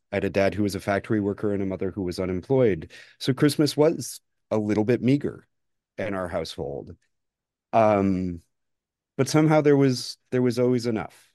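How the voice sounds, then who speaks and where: Earnest man with a lowish voice. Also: dark tone, no echo, clean studio sound. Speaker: podcast guest. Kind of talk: reflective personal interview talk.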